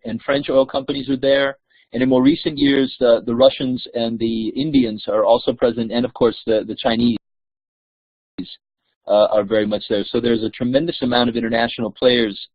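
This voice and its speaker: A man's voice: mid-range voice, dry recording. A man, a scholar, explaining at length in an online discussion, hesitant with frequent "um" and "uh". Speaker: scholar guest. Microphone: online call audio, video off. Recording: dry, tinny phone-like line.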